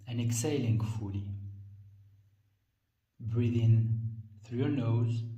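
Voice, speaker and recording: Male meditation guide, mid-pitched and unhurried, reading calmly in measured phrases, recorded at a distance in an echoey space. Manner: calm, measured phrasing with frequent pauses. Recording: roomy echo, distant from the mic.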